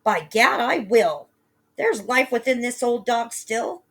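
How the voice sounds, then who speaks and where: A woman voicing a poor, greedy mother who scolds loudly in a raised voice, a little husky. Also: big pitch swings, measured pace, exaggerated voice. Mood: loud scolding. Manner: loud, shrill scolding.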